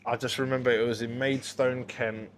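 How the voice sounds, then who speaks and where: A man's voice: mid-range voice, level tone, normal speed. A British man telling anecdotes as an interview guest, conversational and slangy, acting out remembered exchanges. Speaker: guest, boxing MC recalling his career. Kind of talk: casual podcast interview anecdotes. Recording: noisy background with ambient sound.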